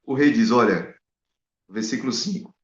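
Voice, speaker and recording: A man preaching a Bible study sermon, animated and full of rhetorical questions to his listeners. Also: mid-range voice, moderate pace. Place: in Spain. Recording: echoey, dull lo-fi room sound.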